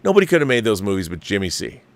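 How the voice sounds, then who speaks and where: A man's voice: on the low side, big pitch swings, very fast, no echo. Emphatic middle-aged American man, dry close sound. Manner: emphatic, repeats phrases for emphasis. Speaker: livestream host. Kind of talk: casual opinionated movie chat, reading viewer letters aloud.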